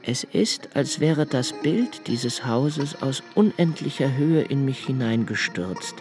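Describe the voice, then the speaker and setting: An actor playing a sensitive, impoverished young poet, speaking an introspective, deliberate monologue in a radio play. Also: male voice, mid-range voice, animated. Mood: hushed, remembering. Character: sensitive, brooding, poor young foreign poet and diarist. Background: music.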